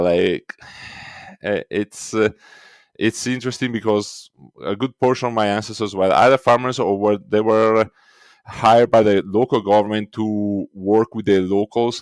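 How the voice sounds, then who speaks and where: Italian-accented man, low and dry. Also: dry recording. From Italy. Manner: explains step by step with frequent fillers. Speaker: guest, records indexing team lead. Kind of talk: conversational interview.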